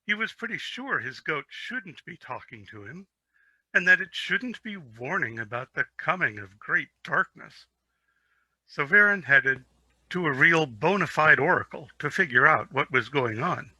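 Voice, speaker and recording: Guy storyteller doing a dramatic fantasy read, really bright punchy voice, dry sound, slightly muddy articulation. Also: on the high side, measured pace, husky, no room sound, poor audio. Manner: theatrical, expressive.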